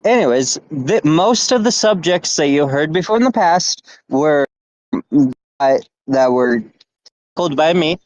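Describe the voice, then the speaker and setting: A man's voice: fairly high pitch, lively intonation, a little slow, no room sound, poor audio. A young man casually hosting a podcast, rambling with lots of "anyways" and laughter. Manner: rambling, many fillers and laughs. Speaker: podcast host.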